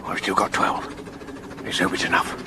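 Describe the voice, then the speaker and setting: An actor playing a hard-bitten, commanding police officer with an English accent, tense and wry, in a 1970s British radio drama. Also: male voice, moderate pace, close to an everyday voice. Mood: grim, wry. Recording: noisy old radio drama recording.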